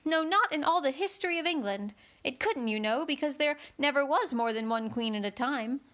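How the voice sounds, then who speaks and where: A woman's voice: sits high, expressive, normal speed, fairly bright tone, voice pushed up. A woman voicing a puzzled, impatient young girl who exclaims indignantly in a storybook reading.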